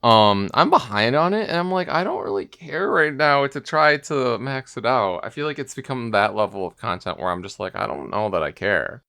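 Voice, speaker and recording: A man's voice: mid-range voice, lively intonation, moderate pace, creaky, hardly any pauses, bright, forceful tone. A man co-hosting a podcast, chatting casually in a rambling, hedging way. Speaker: podcast co-host. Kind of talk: casual chat.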